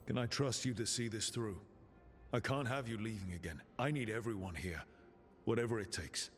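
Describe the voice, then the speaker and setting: A man voicing a resolute team leader in a fantasy video game, steady and earnest. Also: on the low side, moderate pace, faint music behind, natural voice. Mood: commanding.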